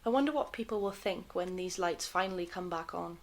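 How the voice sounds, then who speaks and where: A woman playing a composed, wistful 1930s television broadcaster in an audio drama, with a gentle, reflective manner. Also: on the low side, a little fast, close to an everyday voice. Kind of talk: fan-made audio drama acting.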